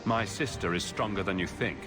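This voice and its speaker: An actor playing a self-assured, protective male adventurer in a video game, speaking in a measured, direct way. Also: deep voice. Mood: confident. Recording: loud music over the voice.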